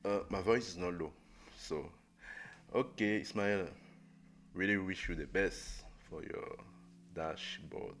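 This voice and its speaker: A young man live-streaming a coding lesson, thinking aloud in a halting way with many fillers and restarts. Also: low-pitched, a bit bright, a little hiss or hum. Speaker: coding livestreamer. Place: indoor room at a desk. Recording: music playing under the voice. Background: music playing and keyboard typing.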